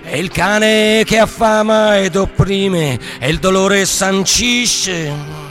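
A man performing a protest ballad for radio theatre, half sung and half declaimed, in drawn-out rhythmic phrases. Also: sits high, a bit bright. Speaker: singer and stage performer. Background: music.

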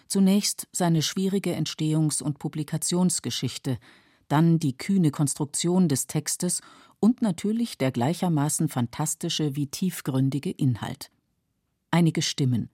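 A woman with a mellow, husky voice reading scripted documentary narration. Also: very deep voice, no echo, studio quality.

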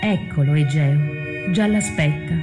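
An actor as solemn tragic chorus, slow measured declamation, reproachful, radio theatre, clear instrumental music behind. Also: medium pitch, close to an everyday voice.

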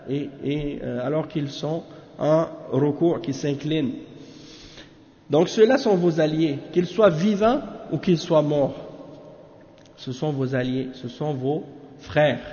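Man teaching a lesson, chatty and familiar, slightly high voice, mellow tone, echoey room, dull lo-fi sound. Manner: casual, familiar talk with frequent "hein?" asides. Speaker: religious teacher. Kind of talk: lesson explaining a commentary.

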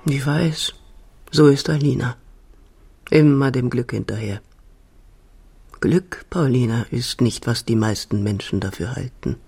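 An actress playing a dying old woman in a radio drama, resigned and tenderly wise, speaking in a halting, reflective way. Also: rumbling low, darkish tone, slightly rough, heavily acted. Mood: quietly reflective. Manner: slow, halting, reflective delivery.

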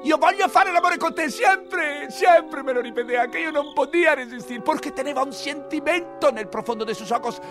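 An actor playing a mad, lovelorn old cardinal with a Spanish accent in a theatrical, anguished monologue for a radio play. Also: male voice, very high-pitched, moderate pace, few pauses, music in the background. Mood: passionate, impassioned.

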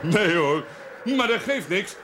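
A man's voice: high voice, lively intonation, slow. An actor playing a simple-minded, childlike village man who blurts and repeats himself in a television drama. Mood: gleeful. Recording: noisy 1970s television soundtrack.

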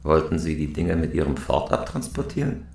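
A cool career criminal in a radio crime play. His deep, creaky voice is guarded and laconic, yet its pitch moves freely. Slight ambient noise sits behind him. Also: sing-song, brisk, darkish tone, full character voice. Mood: businesslike. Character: cool, terse, hard-boiled male career criminal. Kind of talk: radio crime drama acting.

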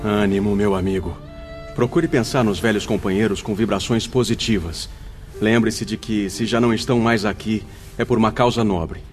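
A man's voice: fairly low pitch, fairly even intonation, moderate pace, soft background music, natural voice. An actor playing a compassionate male spirit friend in a radio drama, speaking earnest, formal, consoling words in a Brazilian accent.

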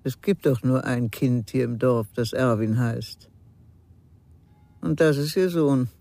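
An actor in a radio crime drama playing a wary, nosy village innkeeper, guarded and curt. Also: male voice, medium pitch, slow pace, creaky, warm tone, no echo.

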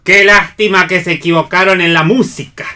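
Una actriz interpreta a una figura que comenta la acción con ironía, en tono teatral de radio. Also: female voice, lowish voice, fairly even intonation, moderate pace, sharp tone. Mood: wry. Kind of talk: theatrical radio play reading.

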